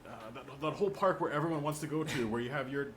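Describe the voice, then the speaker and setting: A man speaking as a panelist, casually explaining and pitching his ideas to the others. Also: fast, a bit bright. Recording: faint background noise.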